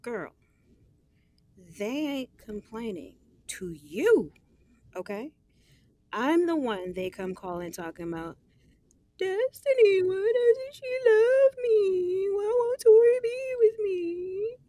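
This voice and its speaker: Young woman, lively swooping intonation, sassy, slangy, smitten yet guarded, casual audio drama. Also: very high voice, slow pace, no echo, full character voice. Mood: teasing. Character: smitten, guarded young woman gossiping with her best friend.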